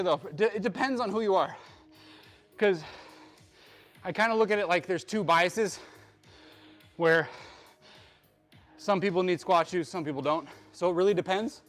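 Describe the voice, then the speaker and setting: A fitness coach talks to his viewers between sets of a live workout, full of jokes and pumped-up encouragement. His voice sits high for a man. A bit of music plays quietly under him. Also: normal speed, long pauses. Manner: hyped, joking, bro-style coaching talk.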